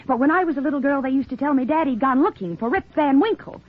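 Bitter, high-voiced scornful daughter. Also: female voice, expressive, fast. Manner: sharp-tongued, scornful. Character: bitter, indignant grown daughter of runaway father. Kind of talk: 1940s radio acting. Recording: thin, tinny 1940s radio recording.

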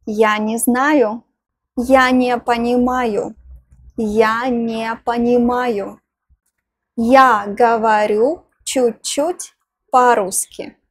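A young woman, teaching phrases outdoors, saying each one twice, slowly and clearly, into a clip-on lapel mic. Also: fairly high pitch, steady tone, dry recording. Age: young adult. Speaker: language teacher. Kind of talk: slow phrase-by-phrase lesson. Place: outdoors in front of greenery.

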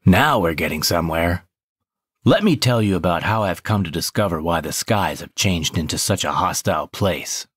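A man's voice: low-pitched, animated, normal speed, a bit bright, breathy edge, no room sound, heavily acted. A man playing a cocky, sly space adventurer with a swaggering, teasing manner in a comedy sci-fi audio drama. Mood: urgent, serious.

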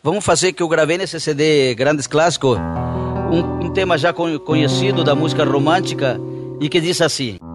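A middle-aged man from Brazil, chatting quickly and warmly as a guest singer into a stand mic in a rustic studio set. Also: a little high. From southern Brazil. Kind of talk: casual interview chat. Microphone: stand mic in front of the face. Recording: guitar music behind the voice. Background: acoustic guitar playing.

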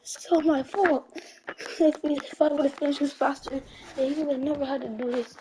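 An amateur performer voicing a desperate, self-blaming but defiant young male hero in a homemade anime fight roleplay, halting and dramatic. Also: high voice, fairly even intonation, background noise, natural voice. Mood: despairing. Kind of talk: amateur roleplay anime fight acting over game footage.

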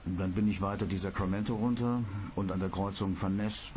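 Radio play cabbie, male actor: deep, laconic, grudging, plain-spoken, faint noise around him. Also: steady tone, brisk, a little mellow, natural voice. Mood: grudging, matter-of-fact. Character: plain-spoken, matter-of-fact male cab driver. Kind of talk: radio drama acting.

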